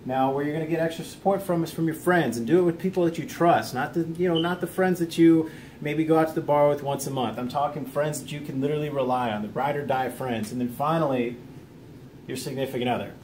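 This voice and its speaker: A man's voice: on the high side, steady tone, quick pace, a little mellow, a little hiss or hum. An American man giving an informal instructional vlog talk in an earnest, plain-spoken, encouraging manner. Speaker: video host teaching self-help lessons.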